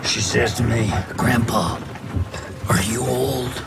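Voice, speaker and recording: An actor playing a tender, weary old grandfather with a gravelly voice, telling a story in a folksy, unhurried way for a game trailer. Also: medium pitch, fairly even intonation. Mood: fond, wistful. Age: older. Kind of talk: cinematic game trailer monologue. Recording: loud music under the voice.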